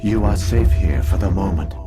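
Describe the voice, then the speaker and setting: Game role: ancient machine guardian, male actor, very low voice, formal, measured, weary gravity, over clear music. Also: natural voice. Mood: solemn. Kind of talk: scripted video game dialogue.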